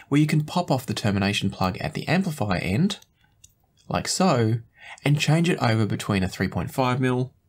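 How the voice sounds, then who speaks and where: Low-pitched man explaining as a reviewer. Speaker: host, audio gear reviewer. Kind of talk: product review to camera, measured explanation. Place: small indoor home studio room.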